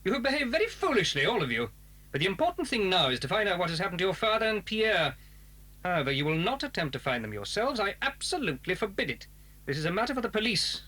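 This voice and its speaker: A man's voice: high-pitched, big pitch swings, fast, bright, forceful tone, full character voice, poor audio. An actor playing an irritable, dismissive father of a household in a children's audio drama, blustering and formal. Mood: stern, forbidding.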